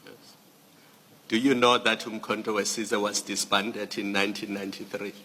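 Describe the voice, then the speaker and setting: A man in a formal hearing presses a witness with measured, pointed questions. His low voice is sharp-edged and stays flat in pitch, and it rings through a reverberant hall over a faint hiss. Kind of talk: formal cross-examination. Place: large hearing room.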